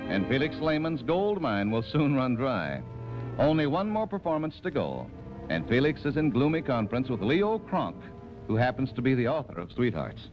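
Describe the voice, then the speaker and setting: A man's voice: mid-range voice, sing-song, normal speed. A man narrating a scripted story as a 1940s radio announcer. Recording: muffled 1940s radio recording. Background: music.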